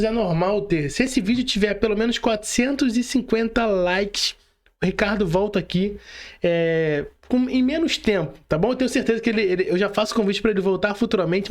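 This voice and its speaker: A man's voice: sits high, a little fast. A man with a Brazilian Portuguese accent hosting a podcast interview in a casual, slangy way. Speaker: podcast host.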